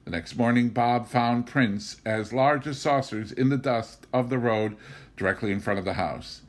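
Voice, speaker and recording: A man reading a memoir novel aloud in an expressive, scripted storytelling style. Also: medium pitch, moderate pace. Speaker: reader of an audiobook.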